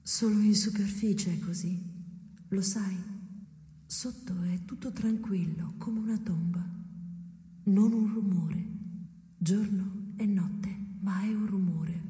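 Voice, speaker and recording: An actress as mellow, ghostly echoing woman. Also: mid-range voice, fairly even intonation, takes it slowly, lightly acted. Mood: hushed, eerie. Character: Ada, calm, detached, melancholy woman from the past. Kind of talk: theatrical radio drama acting. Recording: echoing, long reverb.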